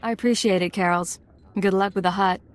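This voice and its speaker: A woman's voice: mid-range voice, fairly even intonation, fairly quick, lightly acted. An actress playing a cool, curt female secret agent in a video game, speaking in a businesslike, no-nonsense way. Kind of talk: video game character acting.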